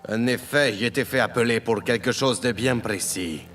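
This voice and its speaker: An actor playing an imposing, cold crime family boss, curt and authoritative, in an audio drama. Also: male voice, mid-range voice, normal speed, a bit bright, natural voice. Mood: cold, commanding. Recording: music under the voice.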